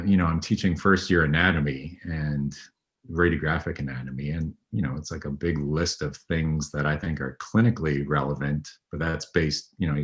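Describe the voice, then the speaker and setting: A man in his thirties, a veterinary radiologist being interviewed, talking in a low, even, unhurried way with frequent ums. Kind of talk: conversational interview.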